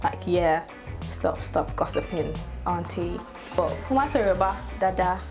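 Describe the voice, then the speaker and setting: A woman's voice: on the low side, lively intonation, measured pace, music in the background. A woman, talking casually to her camera and laughing often as she chats. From Nigeria. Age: 20s. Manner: chatty, laughs often, playful asides. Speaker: YouTuber. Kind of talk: casual get-to-know-me vlog.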